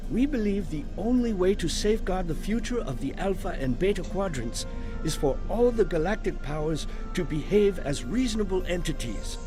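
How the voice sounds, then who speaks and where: A man's voice: high-pitched, animated, moderate pace. An actor playing a firm, principled male ambassador in a science fiction game, speaking formally and with emphasis. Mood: firm, resolute. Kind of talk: scripted video game dialogue. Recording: music behind the voice.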